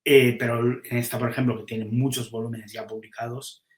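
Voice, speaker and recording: A man's voice: medium pitch, level tone, fairly quick, reverberant. A man, a comics publisher, chatting casually on a podcast and thinking aloud as he weighs which titles to publish, with frequent restarts.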